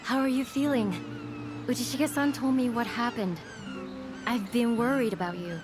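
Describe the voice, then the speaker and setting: An actress playing a gentle, earnest, caring young townswoman in a video game, polite and solicitous. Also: a little high, steady tone, moderate pace, music in the background, lightly acted. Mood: worried, caring. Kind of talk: video game cutscene acting.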